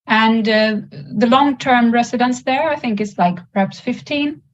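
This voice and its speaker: High-voiced woman, muffled video call. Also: a little slow. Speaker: meditation student in a live Q&A. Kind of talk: casual question-and-answer conversation.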